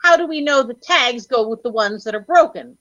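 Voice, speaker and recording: An actress playing a shrewd, assertive young wife in an old radio comedy, brisk, insistent and skeptical. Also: female voice, a little high, lively intonation, a bit bright, dry recording. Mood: challenging. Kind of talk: 1940s radio comedy acting. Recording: dull old radio recording.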